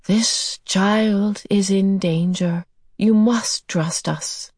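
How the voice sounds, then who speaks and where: A woman voicing a gentle, furry alien healer in an audiobook, speaking calmly and deliberately and groping for words. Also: mid-range voice, husky, no room sound, clean studio sound. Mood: gentle, careful. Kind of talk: audiobook character voice.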